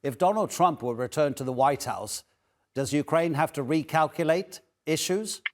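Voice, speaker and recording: Measured male news anchor. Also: a little high. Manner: measured, long multi-part questions. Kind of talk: news interview questions.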